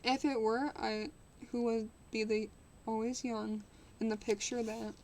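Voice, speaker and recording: A woman's voice: on the high side, flat delivery, measured pace, a little mellow. A woman voicing a vain young man who dreads growing old, reading in her own voice with small stumbles. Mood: wistful. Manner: reads with small stumbles and restarts. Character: young man, vain, anxious about aging.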